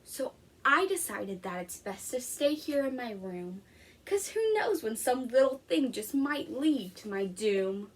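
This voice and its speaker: A woman's voice: fairly high pitch, a little slow, slight background noise. A woman reading a storybook expressively as an anxious, literal-minded little boy. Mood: fretful. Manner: expressive storybook read-aloud.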